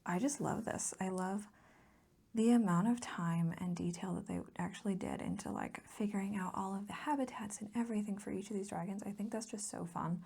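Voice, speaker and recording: A woman speaking softly in an ASMR chat, often slipping into a breathy whisper, rambling affectionately and gushing about what she likes. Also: a little fast. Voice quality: soft, breathy whispering mixed with low voiced murmur. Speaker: host of a hobby ASMR channel. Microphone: close mic, recorded as voice-over.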